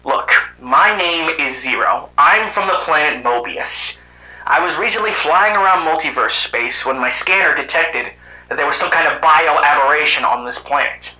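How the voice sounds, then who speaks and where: Man playing wisecracking cartoon cyborg animal hero, sardonic, very bright highish voice, echoing room. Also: animated, normal speed. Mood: insistent, earnest. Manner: sardonic, muttering to himself and exclaiming. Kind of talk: amateur animated drama voice acting. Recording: roomy, echoing voice track.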